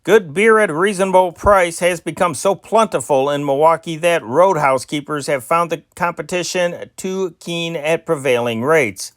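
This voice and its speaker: A man reading old newspaper stories aloud in a steady amateur style, with small slips and restarts. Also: on the high side, normal speed, few pauses, no echo, clean studio sound. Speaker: newspaper reader.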